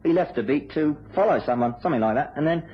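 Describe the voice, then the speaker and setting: An actor playing a deferential, world-weary English police sergeant in a vintage radio drama, respectful, matter-of-fact and resigned. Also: male voice, fairly high pitch, lively intonation, fast talker, husky. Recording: muffled, noisy old radio recording.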